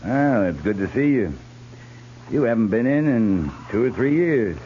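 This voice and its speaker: An actor playing a fair-minded American cavalry captain, speaking in a measured, plain and firm way in a 1950s radio western. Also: male voice, medium pitch, lively intonation, dark tone, lightly acted. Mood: easy, direct. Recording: muffled 1950s radio recording with hum.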